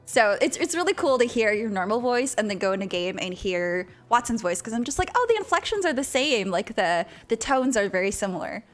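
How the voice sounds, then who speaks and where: A woman hosts a live stream interview, her voice lively and swooping and pitched a little high. She giggles often and reads viewer chat aloud, with faint music underneath. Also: brisk, hardly any pauses. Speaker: stream host.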